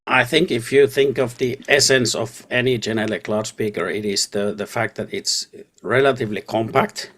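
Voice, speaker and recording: A man answering interview questions as an audio product specialist, speaking haltingly and often repeating words. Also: mid-range voice, a bit bright.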